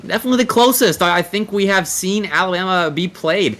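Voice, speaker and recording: A man's voice: high voice, a little fast, a bit bright. A man giving live analytical commentary on a video game match, explaining play in long sentences. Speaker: esports commentator, analyst caster.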